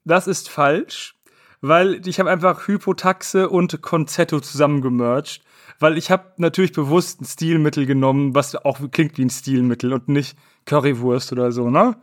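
Kerl, moderiert locker ein quiz, liest definitionen ab. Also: male voice, fairly high pitch, steady tone, moderate pace. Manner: reads definitions aloud, explains. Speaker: quiz host. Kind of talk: casual podcast quiz.

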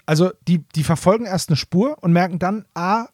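A man's voice: high-pitched, fairly quick, darkish tone, no room sound, clean studio sound. A man co-hosting a podcast in informal, casual chat, rephrasing his thoughts as he goes. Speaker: podcast co-host. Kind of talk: casual chat about nostalgic audio dramas.

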